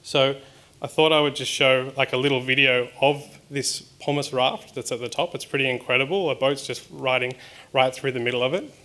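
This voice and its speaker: A man's voice: mid-range voice, fairly even intonation, normal speed, sharp tone. A man in his thirties with an Australian accent, giving a conversational conference talk with frequent ums and restarts. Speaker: research scientist presenting at a symposium. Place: conference hall stage.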